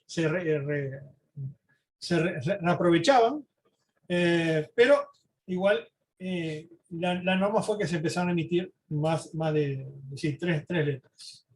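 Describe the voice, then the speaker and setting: A man giving an informal slide lecture, halting, repeating words and often adding short questioning tags. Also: a little high, slight background noise. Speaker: presenter giving a history talk.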